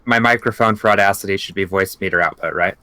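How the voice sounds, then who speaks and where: A man co-hosting a casual podcast while running the recording, practical and low-key. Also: fast talker, sharp tone, clear, resonant tone, no room sound. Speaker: podcast co-host running the audio. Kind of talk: casual livestream podcast chat.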